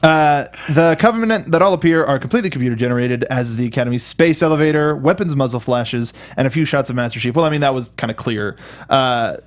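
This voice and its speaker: A man's voice: medium pitch, fast talker. A man co-hosting a film review show, chatting casually and informally with an enthusiastic streak.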